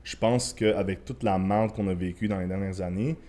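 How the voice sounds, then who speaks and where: A man's voice: low voice, fairly even intonation, moderate pace, warm tone. A young man with a Quebec French accent, a podcast guest chatting loosely, swearing and mixing in English phrases. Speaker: podcast guest, former bodybuilder and coach. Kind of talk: casual podcast chat.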